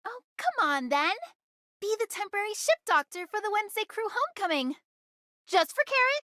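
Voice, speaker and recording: Bright, squeaky, peppy anime girl. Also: sing-song, moderate pace, dry recording, clean studio sound, heavily acted. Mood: peppy, playful. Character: peppy, bossy, eager young female first mate. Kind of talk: anime game voice acting.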